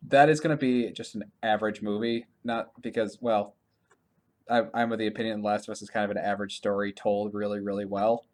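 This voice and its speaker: A man co-hosting a podcast in casual conversation, informal and thinking out loud as he talks. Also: fairly low pitch, steady tone, brisk. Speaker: podcast co-host. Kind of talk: casual podcast chat about games and films.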